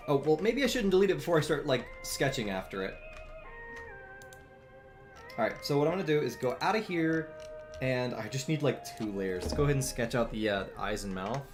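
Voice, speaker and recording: A man's voice: medium pitch, expressive, a little fast. A man in his twenties chatting casually on a live art stream, rambling with many fillers and restarts. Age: 20s. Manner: rambling, frequent fillers and restarts, chuckles often. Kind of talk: casual live-stream chat while drawing. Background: faint background music.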